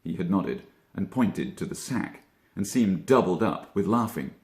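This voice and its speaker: A man reading a children's story as an actor would, with expressive narration and deliberate dramatic pauses. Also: deep voice. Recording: echoey room.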